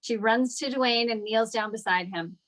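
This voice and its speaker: A woman's voice: fairly high pitch, normal speed, a bit bright. A woman narrator reading scripted stage directions at a screenplay table read.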